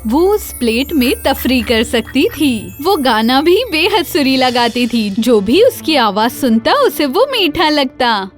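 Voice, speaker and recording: A woman narrates a children's fairy tale as a scripted storyteller. Her voice is high. Soft music runs underneath. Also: lively intonation, hardly any pauses. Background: faint music.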